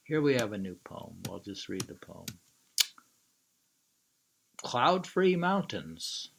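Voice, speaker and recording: Slow, creaky-voiced man reading poetry. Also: lowish voice, big pitch swings, background noise. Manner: halting and musing, muttering asides, drawn-out reading. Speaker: reader and commentator. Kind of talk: informal poetry reading with commentary.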